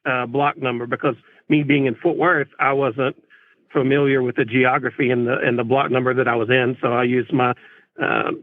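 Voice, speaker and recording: A man's voice: mid-range voice, no room sound, narrow, tinny audio. A polite, plain-spoken man, a police officer, recounting events conversationally as an interview guest.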